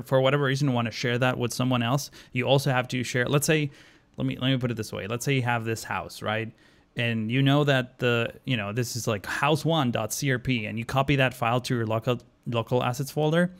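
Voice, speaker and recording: A man from Argentina streaming a city-building game live, thinking aloud with many fillers and answering chat questions as he plays. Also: mid-range voice. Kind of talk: live stream commentary while playing.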